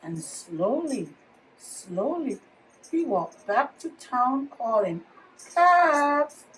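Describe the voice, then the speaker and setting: A woman's voice: a little high, takes it slowly. A woman reading a children's picture book aloud as a teacher, telling it expressively for young listeners. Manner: expressive storytelling with questions to children. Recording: distant microphone, faint background noise.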